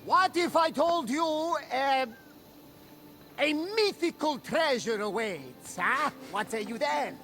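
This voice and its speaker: An actor playing a hearty old sailor in a video game cutscene, with a gravelly, theatrical delivery. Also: male voice, very high voice, lively intonation, takes it slowly, exaggerated voice. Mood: coaxing. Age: older. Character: boisterous, treasure-hungry old Greek sailor, loyal friend. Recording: loud music behind the voice. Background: music.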